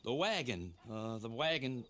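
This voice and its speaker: Simple earnest ranch hand in a game, flustered, hesitant, pitch jumps around. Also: male voice, big pitch swings, unhurried, pushed and bright. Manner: hesitant, plodding logic. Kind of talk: video game character acting.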